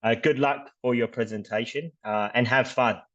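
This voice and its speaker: A man's voice: medium pitch, steady tone, moderate pace, fairly bright tone. A man hosting an online student competition on a video call, speaking hesitantly with many fillers. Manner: hesitant, lots of uh and um. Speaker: event host, sponsor representative. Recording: dull lo-fi video call audio.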